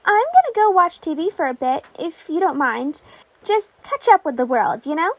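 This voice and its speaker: Audio story role: caring casual schoolgirl female reader, very high, lilting pitch. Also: big pitch swings, normal speed, no room sound, exaggerated voice. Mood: concerned, friendly. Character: friendly, caring, casual teenage girl hero intern. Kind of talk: podfic character dialogue.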